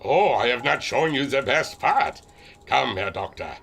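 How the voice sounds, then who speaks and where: An actor playing a huge, jovial German airship captain and inventor with a theatrical delivery and a German accent, in a pulp radio adventure. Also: male voice, animated, a little slow, creaky, fairly bright tone, husky, full character voice. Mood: genial, proud. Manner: hearty booming laugh, theatrical delivery.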